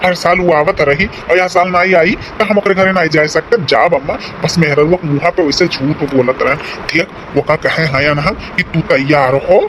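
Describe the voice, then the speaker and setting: A man's voice: on the high side, fairly even intonation, normal speed, few pauses, noisy background, close to an everyday voice. A man voicing a wheedling, placating village husband in a cartoon family comedy, with an Awadhi accent. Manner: rustic, placating, explanatory. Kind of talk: rural cartoon comedy dialogue.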